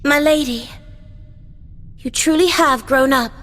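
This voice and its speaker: A woman's voice: high voice, slow pace, fairly bright tone, soft background music. An actress playing a devoted, formal woman retainer and fighter in a video game, speaking courteously. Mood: gentle, admiring. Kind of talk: English video game dub acting. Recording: echoey hall reverb.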